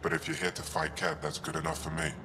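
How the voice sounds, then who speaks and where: An actor playing a grim alien man who speaks bluntly and urgently about his family, with a gravelly voice. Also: rumbling low, monotone, fast, background noise. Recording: game dialogue over clear music. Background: music.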